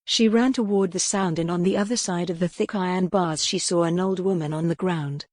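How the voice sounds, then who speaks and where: A woman with a lowish voice narrates a historical romance audiobook. Her reading is measured and articulate, in a very dry recording with hardly any room sound. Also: steady tone, darkish tone, dry recording.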